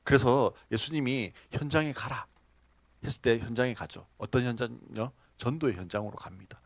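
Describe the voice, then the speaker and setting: A man's voice: mid-range voice, normal speed, dry recording. A man pastor preaching a sermon, telling stories and asking the congregation questions with laughing asides. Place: church sanctuary.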